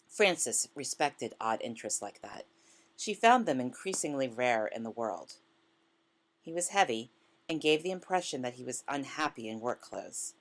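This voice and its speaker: Woman, very low pitch, literary story narration, measured read-aloud delivery. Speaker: author reading a short story.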